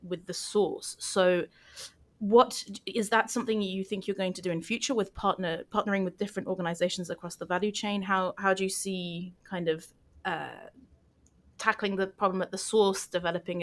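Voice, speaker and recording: A woman hosting a podcast interview, speaking in a thoughtful, reflective way with hedging pauses. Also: lowish voice. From Portugal. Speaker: podcast host. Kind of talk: interview conversation.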